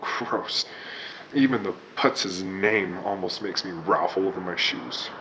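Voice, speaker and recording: A man playing a gruff, reluctant hero in a radio drama, with wisecracking tough-guy talk. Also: low-pitched, takes it slowly, pushed and bright, a little hiss or hum, exaggerated voice, poor audio. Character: gruff reluctant hero, a baker and war veteran. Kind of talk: 1940s radio drama acting.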